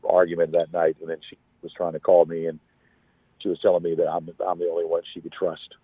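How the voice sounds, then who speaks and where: An under-the-weather man talks casually over a narrow, dry phone line. His voice is on the low side. He rambles and halts, often repeating himself. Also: completely flat delivery, husky, no room sound. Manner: halting, repetitive, rambling. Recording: thin, phone-like call audio.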